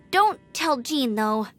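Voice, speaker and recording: A woman's voice: high-pitched, very animated, very slow, heavily acted. A woman voicing a perky, eager young female knight scout in a video game, chatty and earnest. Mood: cheerful, chatty. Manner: peppy, chatty. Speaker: gliding examiner character. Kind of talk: video game character acting. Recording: music playing behind the voice.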